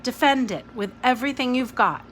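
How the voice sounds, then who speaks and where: A woman voicing a brisk, wry, kindly woman guardian who explains things patiently, in a storybook reading. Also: fairly high pitch, a bit bright, voice pushed up. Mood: patient, explaining. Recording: noisy home recording.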